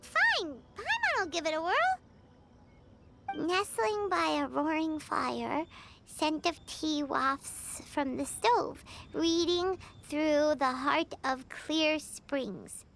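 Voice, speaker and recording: A woman voicing a tiny, excitable floating fairy girl who talks about herself in third person, in a game cutscene. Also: sits high, slow, gravelly, heavily acted. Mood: hesitant. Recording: loud background noise.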